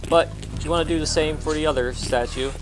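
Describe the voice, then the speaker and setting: A man's voice: fairly high pitch, steady tone, a little fast, poor audio. A man giving casual gameplay commentary as he walks viewers through a video game. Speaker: gamer giving a walkthrough. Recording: game music behind the voice.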